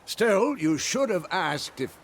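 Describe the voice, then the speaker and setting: An actor playing a gruff, stern old male witcher mentor in a game cutscene. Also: high-pitched, takes it slowly, slight background noise, full character voice. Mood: lecturing. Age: older. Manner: stern, grumbling, lively delivery. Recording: game cutscene with loud music.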